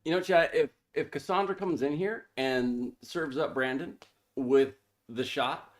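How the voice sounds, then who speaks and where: A man's voice: medium pitch, measured pace. A man co-hosting a live sports stream, calling plays in a clipped, informal way and chatting casually. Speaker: co-host calling the game. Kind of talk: casual live play-by-play commentary and banter.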